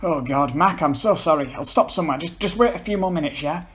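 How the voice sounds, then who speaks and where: A man's voice: on the high side, fast, a bit bright, voice pushed up, close to an everyday voice. Man acting an exasperated, blunt young man. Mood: apologetic. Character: irritable, curt male friend, exasperated, later apologetic. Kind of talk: amateur dramatic reading of a story.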